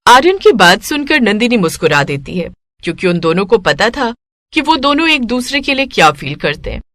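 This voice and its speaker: Female narrator, moral story, scripted, mid pitch, very animated intonation, dry close sound. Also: fairly quick, no echo.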